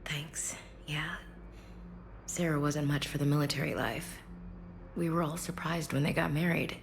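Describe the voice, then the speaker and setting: A woman playing a grieving, guarded soldier and big sister in a sci-fi game, speaking in a hush. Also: low-pitched, steady tone, a little slow, vocal fry, a little hiss or hum. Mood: subdued, sorrowful. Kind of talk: naturalistic game dialogue acting.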